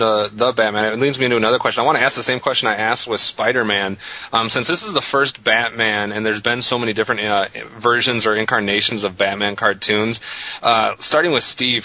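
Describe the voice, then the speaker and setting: A man's voice: mid-range voice, bright, forceful tone. A man hosting and moderating a casual panel discussion on a podcast. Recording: dull lo-fi podcast audio.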